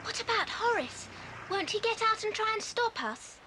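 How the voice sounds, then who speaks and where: An eager, gentle girl from a children's story tape. Her voice is very high and lilting, with an earnest manner. Clear cassette hiss runs underneath. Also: animated, normal speed. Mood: questioning. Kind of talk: children's adventure audio drama acting. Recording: hissy old cassette tape.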